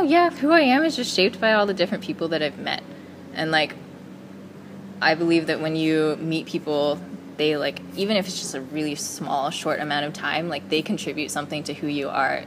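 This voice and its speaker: Low-voiced young woman, noisy background. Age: young adult. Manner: reflective, says like often. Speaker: university student. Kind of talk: interview answer.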